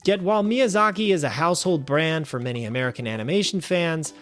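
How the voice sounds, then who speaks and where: A man hosting a film review show, reading a scripted review with wry, animated asides. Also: on the high side, quick pace, faint music behind. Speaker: film review podcast host.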